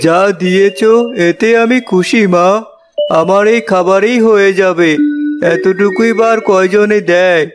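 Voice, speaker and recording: A man's voice: high-pitched, fairly even intonation, unhurried. A man voicing a hungry wandering holy man in a cartoon story, humble and entreating, over music. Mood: kindly. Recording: music behind the voice.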